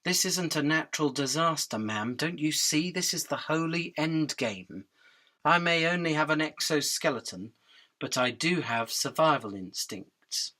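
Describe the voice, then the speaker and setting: A woman's voice: deep voice, animated, a little slow, bright, forceful tone, exaggerated voice. A woman playing a tiny, earnest, defiant winged insect prophet of doom in a radio drama, with an urgent, preachy, sardonic delivery. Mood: grave warning. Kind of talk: theatrical radio drama acting.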